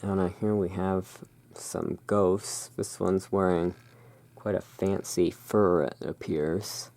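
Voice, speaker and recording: An ASMR host in a gothic shopkeeper roleplay, musing in an unhurried, gently whimsical way while commenting on cards. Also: deep voice, steady tone, vocal fry. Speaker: host playing a gothic curiosity shop owner.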